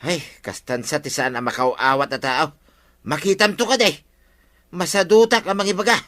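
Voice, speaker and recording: A man's voice: a little high, normal speed, fairly bright tone, lightly acted. An actor with an Ilocano accent playing a cheeky, wheedling, argumentative village man in a radio comedy drama.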